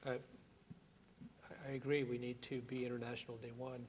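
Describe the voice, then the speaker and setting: Vc guy on a panel, very flat monotone, matter-of-fact. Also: mid-range voice, brisk, a crowd in the background. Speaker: venture capital panelist. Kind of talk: panel answer.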